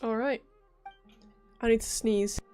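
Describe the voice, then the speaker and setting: A woman livestreaming a game, giving casual, expressive commentary and reading text aloud, with a lingering cough. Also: a little high, slow, soft background music.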